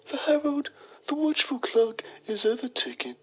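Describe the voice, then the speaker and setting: A woman's voice: high-pitched, sing-song, normal speed, a little mellow, breathy edge, exaggerated voice. A woman playing a pretentious, self-admiring male journalist, narrating a mock documentary in a theatrical manner. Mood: mock poignancy. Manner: pompous, theatrical.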